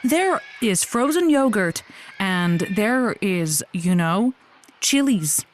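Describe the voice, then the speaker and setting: A woman acting as a breezy advice host whose pitch moves in lively swings. She is encouraging and playful, stretching her phrases on an improvised comedy call-in show, with some noise behind her. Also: takes it slowly. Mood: encouraging, musing.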